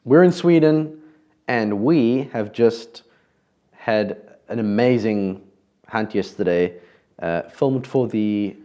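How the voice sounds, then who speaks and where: A man's voice: fairly low pitch, slow pace, dark tone. A man from South Africa with a South African accent, hosting a podcast in a casual, conversational way with frequent restarts. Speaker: podcast host.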